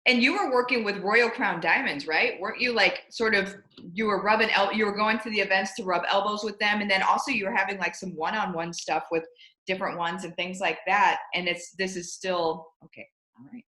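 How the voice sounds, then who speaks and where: A woman hosting a casual interview, speaking emphatically in quick bursts. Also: mid-range voice, steady tone, pushed and bright. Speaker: host. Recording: echoey room.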